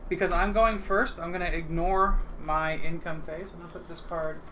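A man's voice: fairly high pitch, steady tone, moderate pace, sharp tone. A man hosting a game session, casually explaining the rules while he takes his turn. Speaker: host teaching a board game. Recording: faintly noisy livestream room.

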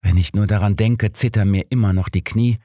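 A man voicing a devout, candid and measured male pastor in an audiobook. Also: on the low side, steady tone, warm tone, no echo, studio quality, pitched above the performer's own voice. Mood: shaken.

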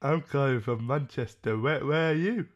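A man's voice: medium pitch, moderate pace, lo-fi sound. A British man in his twenties chatting casually on a livestream, close to a desk microphone in a room. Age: 20s. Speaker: live streamer making prank calls. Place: small indoor room.

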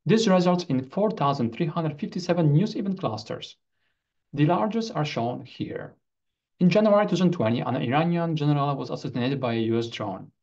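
A man giving a scripted academic video presentation as a researcher, in formal, deliberate phrasing, on a computer microphone. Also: a little high, lively intonation, darkish tone, lo-fi sound.

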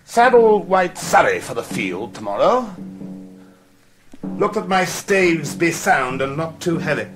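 A man's voice: a little high, very animated, measured pace, a bit bright, soft background music. An actor playing a restless, imperious villain king in Shakespearean drama, brooding and mercurial, barking abrupt commands. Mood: brooding, edgy. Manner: abrupt commands with sudden swings. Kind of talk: classical Shakespearean stage acting.

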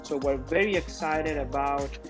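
Halting man with a Peruvian Spanish accent. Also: mid-range voice. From Peru. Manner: halting, hesitant phrasing. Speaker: interview guest, infectious diseases doctor. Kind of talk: explanatory interview answers. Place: indoor room, video call. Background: music.

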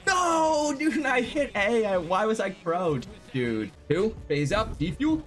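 Hoarse excitable young male streamer. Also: sits high, slow, soft background music. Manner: excitable, swears freely, talks to chat. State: losing his voice. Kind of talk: live gaming stream chat. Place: home gaming room.

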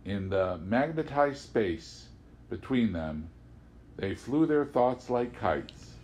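A man reading literary prose aloud as an audiobook narrator, with an expressive, theatrical delivery. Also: fairly low pitch, slow. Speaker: audiobook reader.

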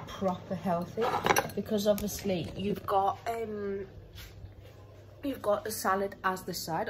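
A woman's voice: lowish voice, background noise. A young woman vlogging as she cooks in her home kitchen, chatting casually with lots of fillers and restarts. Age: young adult. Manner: chatty, rambling, many fillers and self-corrections. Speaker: cooking vlogger. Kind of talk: casual cook-along chat. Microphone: phone camera propped close, moving around.

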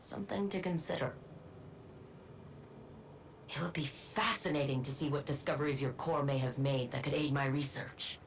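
Cold, low-voiced scientist villain. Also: female voice, normal speed, gravelly, pauses often, slight background noise. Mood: detached. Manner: detached, deadpan. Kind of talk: video game voice acting.

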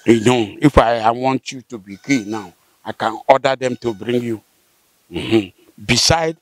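An older man with a southern Nigerian accent, explaining local history conversationally and haltingly, with affirming hums. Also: medium pitch, no echo. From Rivers State, southern Nigeria. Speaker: interviewee, local elder. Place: outdoors, seated by corrugated metal fence. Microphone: handheld interview mic held by host.

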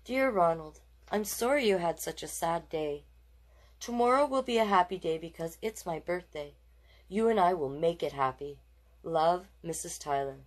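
A woman voicing a kindly but firm female primary teacher in a storybook, measured, instructive and reassuring. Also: on the low side, lightly acted.

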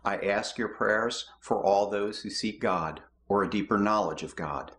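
A middle-aged man reading the prayers of the people in a measured, steady way from his home office. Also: lowish voice. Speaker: lay prayer leader.

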